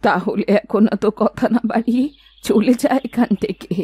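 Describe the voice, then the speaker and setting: A woman's voice: a little high, moderate pace, dark tone, breathy edge, no room sound. A woman voicing a poor, stubborn, money-minded old village woman in a cartoon moral story. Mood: defiant. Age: older. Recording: music behind the voice.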